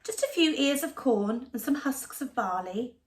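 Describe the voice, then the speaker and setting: A woman voicing a timid, gentle male country mouse in a storybook reading, with an excitable squeaky manner. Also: measured pace. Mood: homely.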